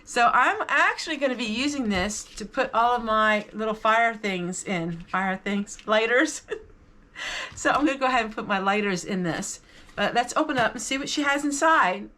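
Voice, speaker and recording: A woman's voice: on the high side, animated, normal speed, sharp tone. A woman hosting a vlog, chatting casually to viewers about items she shows, in an enthusiastic and appreciative manner. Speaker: vlog host. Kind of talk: casual haul chat to viewers.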